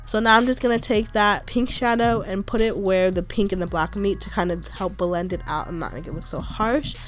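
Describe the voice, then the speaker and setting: A woman's voice: mid-range voice, a little fast, soft background music. A young woman with a stuffy nose from a cold, narrating a makeup tutorial in a chatty, reassuring, casual way. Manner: chatty, reassuring, with frequent "like" and "kinda". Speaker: beauty vlogger.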